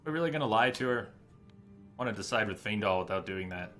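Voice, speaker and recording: A man's voice: lowish voice, lively intonation, fast talker, fairly bright tone. A man streaming a video game with casual commentary, thinking aloud as he plays. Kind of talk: casual live playthrough commentary. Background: game music.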